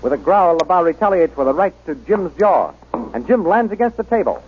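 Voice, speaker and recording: A man's voice: sits high, sing-song, fairly quick. A man narrating an old-time radio adventure serial in a dramatic scripted style. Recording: noisy, muffled old radio recording.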